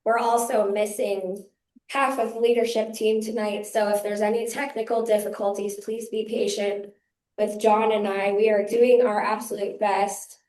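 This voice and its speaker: A hoarse woman with laryngitis opens a member meeting as chair and founder, giving brisk, practical announcements to a live audience. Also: mid-range voice, little pitch movement, a little mellow. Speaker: chair and founder of a local activist group. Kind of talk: opening remarks at a member meeting. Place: community meeting room with an audience. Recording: echoey meeting room.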